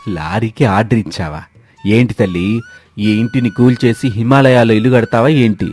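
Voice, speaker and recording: A man's voice: fairly low pitch, normal speed, a little mellow, faint music behind, lightly acted. A man voicing a wry, teasing village father-in-law in a cartoon story, with joking, exclamatory questions. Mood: incredulous. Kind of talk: Telugu comic cartoon story acting.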